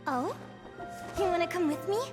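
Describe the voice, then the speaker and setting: A woman voicing a bubbly, excitable young naturalist adventurer in a video game, eager and exclamatory. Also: very high-pitched, moderate pace. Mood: fond, eager. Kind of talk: animated video game voice acting. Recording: music under the voice.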